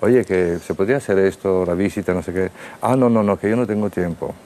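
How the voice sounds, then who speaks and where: A middle-aged man with a very deep, low voice speaks on a TV studio panel through a lapel mic. He tells his stories with lively energy, acting out quoted exchanges. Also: a little fast, slight background noise. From Italy. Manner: animated storyteller acting out quoted dialogue. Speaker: restaurateur guest. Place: TV studio set.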